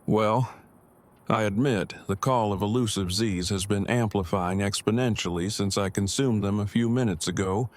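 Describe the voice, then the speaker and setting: A man's voice: lowish voice, moderate pace, lightly acted. A man playing a drowsy, devoted, nerdy husband in an audio drama, speaking in stilted, formal, wordy phrases. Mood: drowsy affection. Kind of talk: fan audio drama acting.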